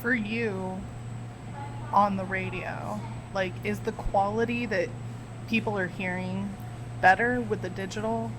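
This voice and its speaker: A woman's voice: medium pitch, steady tone, unhurried. A woman hosting a casual podcast chat, asking curious follow-up questions. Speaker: podcast host. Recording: noisy background.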